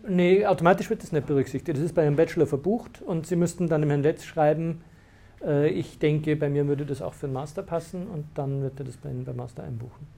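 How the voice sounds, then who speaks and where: A man's voice: fairly high pitch, fast talker, creaky, dark tone, slight background noise. A man, a university lecturer, explaining study rules to students in a lecture room, with many fillers and restarts. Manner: hesitant, many fillers and restarts, laughs at times.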